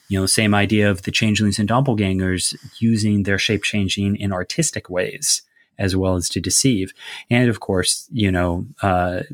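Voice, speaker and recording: A man's voice: fairly low pitch, dry recording, clean studio sound. A man talking casually about a fantasy setting, explaining ideas at length with many fillers. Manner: rambling, filler-heavy, explanatory. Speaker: game designer discussing a setting. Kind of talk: casual discussion.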